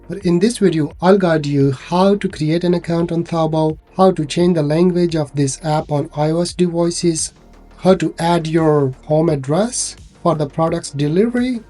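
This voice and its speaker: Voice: medium pitch, dark tone. Informal app tutorial presenter over music. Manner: informal, instructional.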